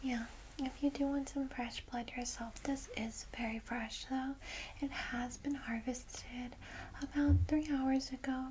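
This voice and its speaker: Woman as a whispering, gentle vampire guide, noisy recording. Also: high voice, flat delivery. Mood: gentle, encouraging. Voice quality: soft, breathy whisper. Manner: reassuring, unhurried, hushed. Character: gentle, welcoming female vampire guiding a newcomer. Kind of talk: whispered ASMR roleplay.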